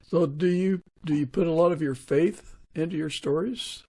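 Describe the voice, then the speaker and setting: Podcast host guy, husky creaky voice, casual interview chat, close dry sound. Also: on the high side, normal speed, dark tone, no room sound.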